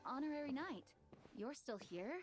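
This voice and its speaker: A woman voicing a gentle, dutiful knight leader in a video game, pensive and worried. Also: high voice, animated, normal speed, faint music behind. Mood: surprised.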